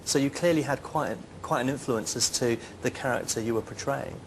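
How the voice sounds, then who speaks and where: A young English man with a mid-range voice presents live television in a polite, brisk and affirming way. He steers the chat and hands over to callers. A faint hiss of noise runs underneath. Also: mid-range voice, creaky, low-quality recording. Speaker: TV shopping presenter.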